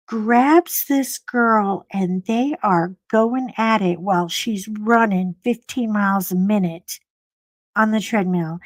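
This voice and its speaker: Animated woman live-streaming, slow and chatty. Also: dry recording. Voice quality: animated storyteller, swooping pitch on greetings. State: froggy voice. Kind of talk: casual live chat with viewers.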